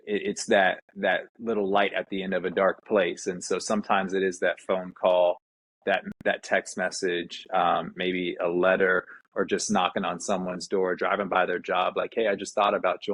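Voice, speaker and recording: A man's voice: deep voice, husky, no echo. A man hosting a conversational interview, talking in an earnest, reflective way. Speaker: interviewer, podcast host.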